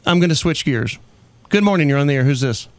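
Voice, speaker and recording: A man's voice: fairly high pitch, expressive. A man hosting a morning radio show, joking with callers in casual banter from a studio. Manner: joking, quick to laugh.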